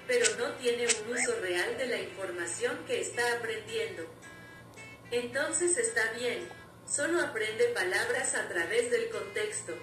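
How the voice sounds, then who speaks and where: Woman, kinda high voice, wry opinionated voice-over, far from the mic in an echoey room, loud music behind. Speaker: language learning vlogger. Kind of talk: scripted voice-over commentary.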